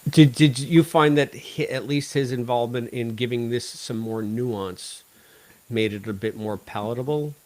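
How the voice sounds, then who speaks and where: A man's voice: mid-range voice, normal speed, a little mellow. Man, mid-range voice, podcast host, casual review chat, talkative, opinionated. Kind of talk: casual discussion and review of an audio drama.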